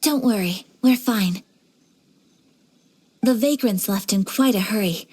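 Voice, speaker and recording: A woman's voice: mid-range voice, a little mellow, slightly rough. A woman playing a refined young noblewoman swordfighter in an anime game, composed, gracious and formally polite. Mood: modest, calm. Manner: formal, polite, measured diction. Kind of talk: English anime game voice acting.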